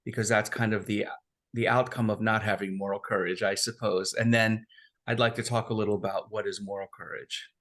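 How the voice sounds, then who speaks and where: A man's voice: lowish voice, level tone, fairly quick, a bit bright. A man hosting a remote interview, asking long questions with hesitant restarts. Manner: hesitant, frequent fillers and restarts. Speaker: interview host.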